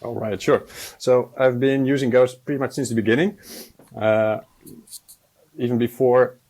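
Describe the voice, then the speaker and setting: A man in his forties speaks as a guest developer over a video call headset. His pitch sits in the middle of the range, and he talks low-key and unhurried, pausing often on fillers. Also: fairly even intonation, darkish tone. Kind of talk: casual interview answers. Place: home room, indoors. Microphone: earbud headset on video call.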